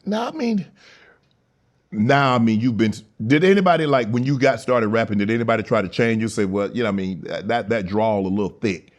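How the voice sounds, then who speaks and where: A man's voice: fairly low pitch, fairly quick. A middle-aged man hosting an interview, asking prodding questions and laughing big. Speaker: talk show host.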